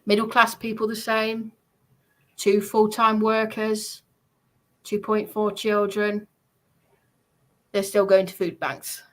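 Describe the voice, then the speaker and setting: Woman, bright forceful voice, insistent, defensive, casual live stream chat. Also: medium pitch, flat delivery, slow, pauses often.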